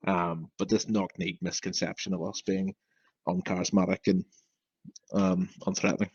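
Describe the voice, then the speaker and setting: A man's voice: low-pitched, flat delivery, normal speed, a little mellow, husky, dry recording, dull audio. A young man from Northern Ireland, a podcast guest who stammers, talking bluntly and frankly. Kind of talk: casual interview talk.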